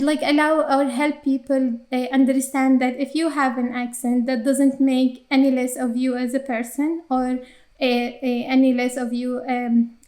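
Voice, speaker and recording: A young woman with an Arabic accent speaks in a high register. She answers interview questions casually and hesitantly, pausing on fillers and restarting her sentences. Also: darkish tone. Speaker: interviewee, company employee.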